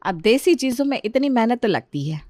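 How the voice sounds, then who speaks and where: A woman voicing a dutiful, traditional elder sister-in-law who explains gently and reassuringly in a family story. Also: on the high side, sing-song, brisk, no echo, clean studio sound. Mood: patient, instructing. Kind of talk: acted Hindi family story dialogue.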